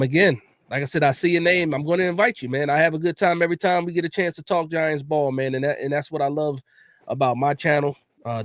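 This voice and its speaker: A man's voice: on the high side, dry recording. A man hosting a casual sports livestream, laid-back and chatty, often repeating words and tossing in "man.". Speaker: podcast host.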